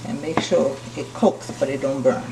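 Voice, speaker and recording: A woman from Liberia with a Liberian accent, calmly explaining each step of a recipe while cooking in her home kitchen. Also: a bass voice, moderate pace, gravelly. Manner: calm, step-by-step instructions while stirring. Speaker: cooking channel host. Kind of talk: casual cooking demonstration. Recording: distant mic with frying noise. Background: sizzling pot and stirring.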